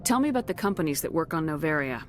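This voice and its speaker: A woman's voice: low voice, very animated, racing, faint music behind. A woman playing a confident military space commander in a video game, direct and no-nonsense in manner. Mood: firm, businesslike.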